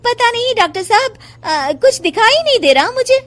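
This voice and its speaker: A woman voicing a lazy, scheming young daughter-in-law who whines and pleads in a high voice for a cartoon. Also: very animated, normal speed, a bit bright, full character voice. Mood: wailing. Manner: whiny, high-pitched pleading. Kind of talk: Hindi cartoon voice acting.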